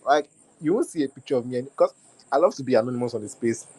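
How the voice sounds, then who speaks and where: A young man with a Nigerian accent speaks casually on a group call, at an ordinary middle pitch. He talks in loose, looping phrases, keeps restarting his sentences and reaches often for "like" and "you know". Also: expressive, quick pace, darkish tone, breathy edge, poor audio. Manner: repetitive, restarts phrases, many fillers like "like".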